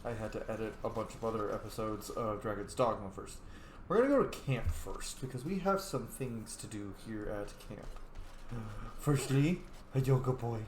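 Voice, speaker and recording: A man's voice: on the low side, moderate pace, echoey room, a little hiss or hum. A man hosting a roleplay let's play, chatting casually and playfully voicing his character's replies. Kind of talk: casual gameplay commentary with voiced replies.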